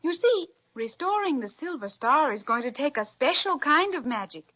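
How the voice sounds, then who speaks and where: A woman's voice: high voice, big pitch swings, moderate pace. An actress playing a kind fairy-tale queen, gracious, careful and reassuring in a formal old-time children's radio manner. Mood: gentle, instructive. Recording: dull old radio recording.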